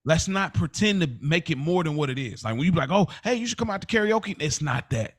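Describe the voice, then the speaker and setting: A man's voice: on the high side, lively intonation, quick pace. A man in his thirties talking candidly and casually on a podcast, emphatic and repetitive, with slangy, confiding delivery. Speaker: podcast host.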